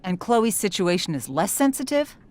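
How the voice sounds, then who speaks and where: A woman's voice: medium pitch, sing-song, normal speed, darkish tone, close to an everyday voice. A woman playing a protective, skeptical middle-aged mother in a video game, with a dry, pointed way of talking. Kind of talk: naturalistic video game acting.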